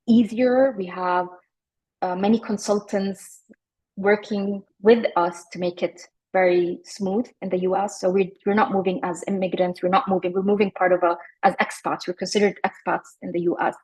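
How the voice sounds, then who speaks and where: A woman in her forties, from Iraq, talking reflectively as an interview guest, often pausing with fillers and restarting her sentences. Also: lowish voice, darkish tone, husky, low-quality recording. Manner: thoughtful, many fillers and restarts.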